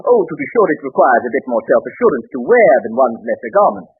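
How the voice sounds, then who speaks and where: An actor playing a pompous, obsequious high-class clothing salesman with stilted, flowery manners, in 1930s radio comedy style. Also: sing-song, fast talker, breathy edge, faint music behind, heavily acted. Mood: gushing. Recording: muffled vintage 1930s radio broadcast.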